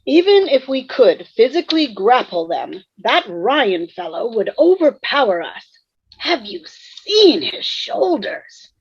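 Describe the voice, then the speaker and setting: High, breathy, slow-drawling sly ghost woman played by an actress. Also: sing-song, full character voice. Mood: sly, gossipy. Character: sly, streetwise ghost woman with bootlegger past. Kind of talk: online table read of sitcom script. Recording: dull, lo-fi video call.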